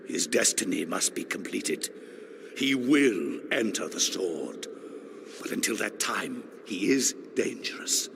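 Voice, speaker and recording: An actor playing a condescending old sorcerer in a video game, with a gravelly voice and a slow, portentous, cryptic delivery. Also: male voice, fairly low pitch, background noise, heavily acted. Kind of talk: dramatic video game acting.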